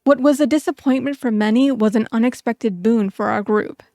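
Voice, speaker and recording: A woman narrates a scripted personal story for a video essay. Her voice sits a little high, and faint music plays behind her. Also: normal speed, mellow, no echo, clean studio sound.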